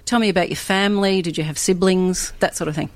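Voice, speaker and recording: A woman hosting a radio interview show, reading a measured scripted introduction before chatting with her guest. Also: on the low side, fairly even intonation, no echo. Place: radio studio.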